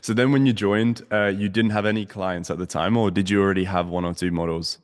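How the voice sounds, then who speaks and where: A man's voice: low voice, steady tone, brisk, in a large hall. A man hosting a casual interview, upbeat and promotional, asking long winding questions. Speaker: host, agency mentor.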